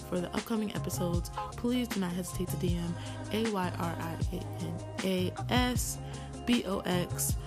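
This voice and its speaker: A woman's voice: lowish voice, moderate pace, music in the background. A woman in her early twenties hosting a podcast in a casual, chatty, informal way. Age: early 20s. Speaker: podcast host.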